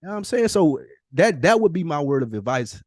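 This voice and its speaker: A man's voice: a little high, very animated, fairly quick, a little mellow, no room sound, studio quality. A middle-aged man talking casually on a podcast as co-host, motivational and emphatic, repeating phrases for weight and using profane slang.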